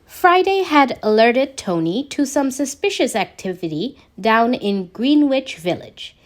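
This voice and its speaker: Slow, high-pitched woman narrating fiction. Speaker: podfic narrator. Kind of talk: scripted fan fiction narration, read slowly.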